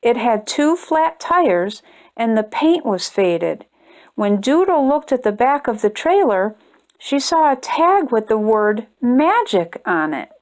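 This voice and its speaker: A woman reading a children's storybook aloud with expressive, deliberate delivery. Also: fairly high pitch. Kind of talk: read-aloud storybook narration for young children.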